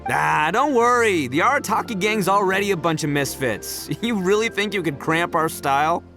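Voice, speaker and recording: A brash young oni gang boss with a fairly high voice that leaps all over the place. He swaggers, laughs easily and stumbles over his words when caught off guard. Soft game music plays behind him. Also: expressive, normal speed, heavily acted. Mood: swaggering. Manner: loud, swaggering, laughs a lot, stammers when flustered.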